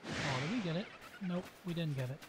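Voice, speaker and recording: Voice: mid-range voice, fairly quick, background noise. A gamer giving casual, exclamatory gameplay commentary, reacting aloud to the play. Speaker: gaming host.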